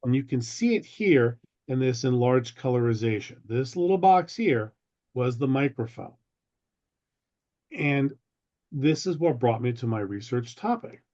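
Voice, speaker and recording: A man giving a prepared history talk with slides over a video call, phrasing deliberately like a storyteller. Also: mid-range voice, lively intonation, mellow. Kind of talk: slide presentation, prepared lecture. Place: online video meeting. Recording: dull, lo-fi video call audio.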